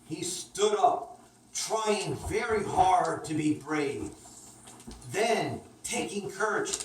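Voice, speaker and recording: A woman narrating a children's story expressively as a puppet show storyteller. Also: fairly high pitch. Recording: echoey room, distant mic, clear noise.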